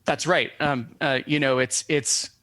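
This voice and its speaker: Male academic guest, mid-pitched, hesitant and digressive, very dry recording. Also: steady tone, measured pace, pushed and bright, no echo. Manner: hesitant, digressive, scholarly phrasing. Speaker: professor, expert guest. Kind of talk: academic explanation in an interview.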